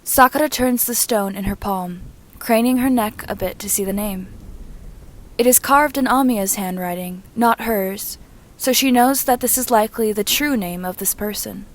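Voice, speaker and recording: A woman narrates prose fiction in a mid-range voice. Her reading is measured and descriptive, following a written script as in an audiobook. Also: medium pitch. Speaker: reader and narrator of fan fiction.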